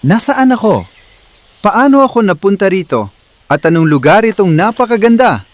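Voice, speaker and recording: A man playing a strong, gentle newborn first man full of awe, speaking earnestly in a storybook folktale. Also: high-pitched, very animated, moderate pace. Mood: wondering. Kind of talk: Tagalog folktale character acting.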